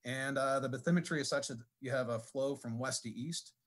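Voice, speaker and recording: Guy presenting at an industry conference, lots of uh and um, keeps restarting his sentences. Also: mid-range voice. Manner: halting, many fillers and restarts. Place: conference session room.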